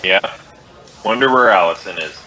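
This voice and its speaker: A businesslike American detective in a vintage radio police drama. His low, forceful voice is clipped and deadpan. He reports each fact plainly, without decoration. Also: normal speed, faint music behind, poor audio. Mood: dry, businesslike. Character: terse, businesslike male police detective, narrating his case.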